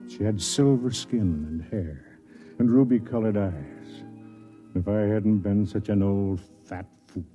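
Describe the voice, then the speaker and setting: An actor playing a kindly, self-deprecating older man, a senior officer who also narrates, in 1950s radio drama style with a measured, explanatory manner. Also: lowish voice. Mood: reflective. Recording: dull 1950s radio broadcast, music behind.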